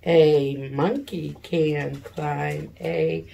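Slow, low-voiced woman teacher with creaky edge. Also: little pitch movement, dark tone, lo-fi sound, a little hiss or hum. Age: middle-aged. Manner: slow, deliberate, sounding out words and asking questions. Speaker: elementary school teacher. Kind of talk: read-aloud reading lesson for young children. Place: living room at home, seated in an armchair.

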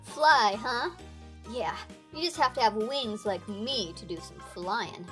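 A woman's voice: on the high side, expressive, takes it slowly, a bit bright, soft background music, pitched below the performer's own voice. A woman voicing a gallant, self-important male toy space ranger for a children's story. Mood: indignant, puzzled.

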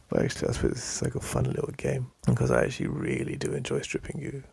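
A man with an English accent playing an affectionate, teasing boyfriend in an intimate ASMR roleplay, murmuring in a deep, breathy voice. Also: huge pitch swings, darkish tone, no echo, exaggerated voice. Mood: playful, teasing. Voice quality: deep, breathy, husky, gravelly. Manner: slow, murmuring, with sighs and lingering pauses.

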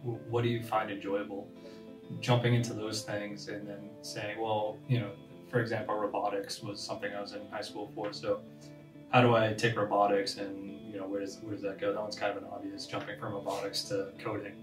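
Low-voiced man giving advice, distant. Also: monotone, a little fast. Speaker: podcast co-host. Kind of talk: casual panel chat. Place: conference room around a table. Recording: roomy, distant with music behind.

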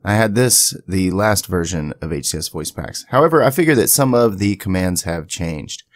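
A man giving a casual software tutorial in a conversational way, with chatty asides, as the creator of the video. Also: low voice, expressive, moderate pace, no room sound, studio quality. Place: indoors at a home PC, voice-over to screen capture.

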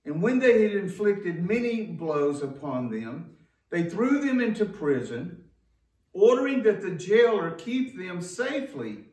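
A man's voice: high-pitched, sing-song, unhurried, darkish tone. A man preaching a sermon as a pastor, in an emphatic, folksy way with rhetorical questions. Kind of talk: sermon to a congregation. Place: church sanctuary, indoors at a wooden pulpit. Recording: echoey room, distant microphone.

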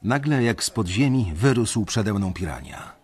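Low-voiced, reflective young banker. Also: male voice, animated. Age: young adult. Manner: measured, reflective. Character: uneasy, introspective young male banker narrating. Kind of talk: first-person radio drama narration.

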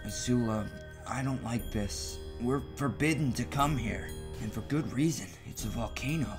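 A man's voice: medium pitch, moderate pace, lightly acted. Man acting fretful teenage prince over music. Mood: worried. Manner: grumbling, anxious, pleading. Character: exasperated, worried teenage prince, cautious older brother. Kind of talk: animated comic dub voice acting.

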